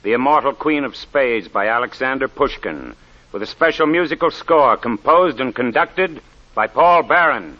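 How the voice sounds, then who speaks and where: A man's voice: mid-range voice, lively intonation, normal speed, slight background noise. A man announcing a mystery show in dramatic 1940s radio style. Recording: dull old radio recording under loud music.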